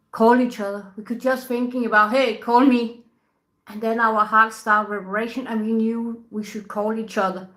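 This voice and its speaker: A woman, a tantra teacher talking casually to camera in soft, unhurried English, often laughing between thoughts. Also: a little high. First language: Danish. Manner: soft-spoken, unhurried, laughs often, non-native grammar. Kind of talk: casual spoken talk to camera.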